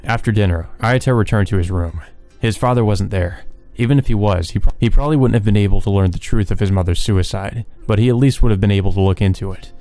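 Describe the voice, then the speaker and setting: A man's voice: fairly low pitch, quick pace, few pauses, dark tone. A man reading a visual novel aloud and commenting casually as he plays. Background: game music.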